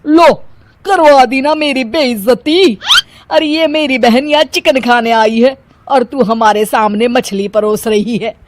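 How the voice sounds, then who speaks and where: A woman playing a middle-aged, talkative Punjabi mother-in-law who scolds and complains theatrically in an animated story. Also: fairly high pitch, measured pace, slight background noise, close to an everyday voice. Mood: indignant complaining. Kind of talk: animated Hindi story dialogue.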